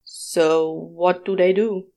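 A woman voicing a laconic, sceptical male demon, deadpan and drawling, in an audiobook. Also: on the low side, slow pace. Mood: baffled, sceptical. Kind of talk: audiobook character voice.

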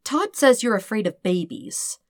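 A woman voicing a serious, measured woman who deadpans as she teases a friend in an audiobook story. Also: on the high side, sing-song, voice pushed up. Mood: teasing. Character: serious, slow-spoken woman teasing her friend. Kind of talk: acted dialogue in fan fiction audiobook.

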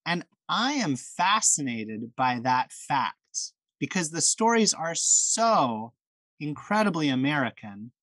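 A man's voice: a little high, sing-song, takes it slowly, fairly bright tone, dry recording, rough recording. A man hosting a podcast, chatting in a friendly, enthusiastic way with frequent restarts and fillers. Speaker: podcast host. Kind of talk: casual podcast chat.

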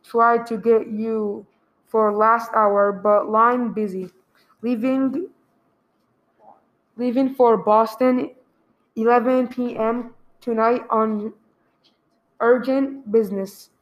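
Businesslike female phone clerk played by a woman, highish voice. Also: fairly even intonation, muffled recording. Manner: measured, formal reading. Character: businesslike telephone clerk, female, formal and courteous. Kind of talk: radio play acting.

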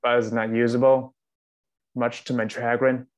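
Guest guy on a call, earbud mic, lots of um and technically, mid pitch. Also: level tone, moderate pace, a little mellow, highs cut off. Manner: hesitant, many fillers and "technically". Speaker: guest podcaster. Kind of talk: casual interview chat. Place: remote video call. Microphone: earphones with built-in microphone.

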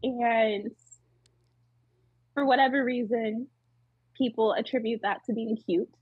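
A woman with a small, tiny voice, chatting casually as an interview guest, laughing often and pausing with fillers. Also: a little high, fairly even intonation, breathy edge, no room sound, phone-quality sound. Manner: giggly, hesitant with many fillers. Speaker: muralist guest.

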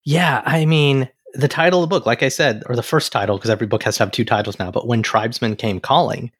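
A man's voice: medium pitch, quick pace, breathy edge, dry recording. A man hosts a podcast with earnest energy, pushing emphasis onto key phrases. His voice sits mid-range and sounds dry and close to the mic. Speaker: podcast co-host. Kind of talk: podcast intro and interviewing.

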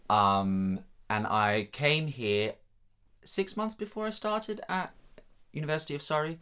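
A young man from Denmark, a native Danish speaker, chatting easily about his studies with many pauses and ums. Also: on the high side, sharp tone, smooth. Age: young adult. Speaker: drama student guest. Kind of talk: casual chat.

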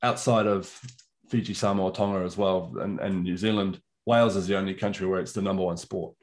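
Guest voice: a middle-aged man from England, low-pitched, warm and enthusiastic in conversation. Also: steady tone, a little fast. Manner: chatty, enthusiastic storytelling. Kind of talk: casual chat.

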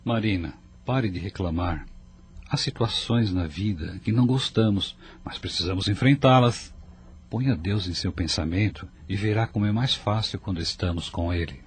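A middle-aged man playing a devout, steady father in a radio drama, calm and measured. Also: sits low, a little hiss or hum, lightly acted. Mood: firm, consoling. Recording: music behind the voice.

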